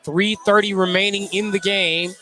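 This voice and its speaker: A man doing live play-by-play radio commentary of a high school basketball game, descriptive and excited on the plays. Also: normal speed, soft background music. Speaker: play-by-play sports announcer.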